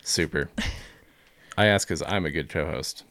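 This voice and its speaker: A man's voice: a bass voice, unhurried, fairly bright tone, no room sound. A young man co-hosting a casual podcast, teasing and wry in his banter. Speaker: podcast co-host.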